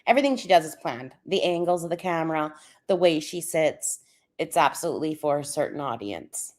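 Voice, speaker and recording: A woman's voice: sits low, animated, brisk. A woman chatting with stream viewers, laughing often and a little wheezy. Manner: laughs often, reads and answers the chat. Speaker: livestream co-host. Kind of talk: casual chat with stream viewers.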